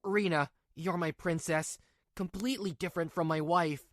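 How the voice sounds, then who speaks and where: A woman's voice: on the low side, moderate pace, no room sound, clean studio sound, lightly acted. A woman voicing a weak-willed, two-faced young husband in a manga drama, with a pleading, hesitant delivery. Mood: smitten.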